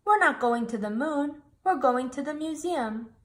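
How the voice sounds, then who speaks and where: A woman's voice: sits high, lively intonation, a little slow. A woman voicing a jolly, reassuring father pig in a storybook reading.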